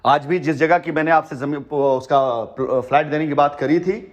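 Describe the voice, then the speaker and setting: A man's voice: fairly high pitch, steady tone, moderate pace. A man talking on a phone call in long, persuasive stretches, earnest and emphatic, often repeating himself. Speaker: company head addressing a customer by phone. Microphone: telephone.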